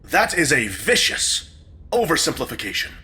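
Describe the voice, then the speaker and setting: An actor playing a nosy, sharp-tongued gentleman guest in an audio drama, wry, urbane and needling. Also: fairly high pitch, very animated, normal speed. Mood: arch, amused.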